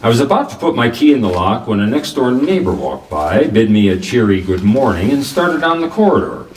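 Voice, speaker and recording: An actor playing a cool, self-assured private detective in the manner of 1940s radio drama, narrating and sparring wryly. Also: male voice, medium pitch, sing-song, a little fast, a little mellow, close to an everyday voice. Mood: weary wry. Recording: roomy echo, distant from the microphone.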